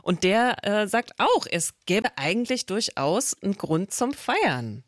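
A woman's voice: medium pitch, very animated, measured pace, no echo, clean studio sound. A woman hosting a radio phone-in show, chatty and upbeat as she takes calls. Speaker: radio host. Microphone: studio microphone.

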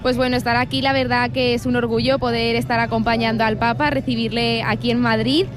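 A woman's voice: fairly high pitch, little pitch movement, fairly quick. A young woman from Valencia with a Spain Spanish accent, giving casual, hesitant answers with checking tags. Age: young adult. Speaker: interviewee. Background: music.

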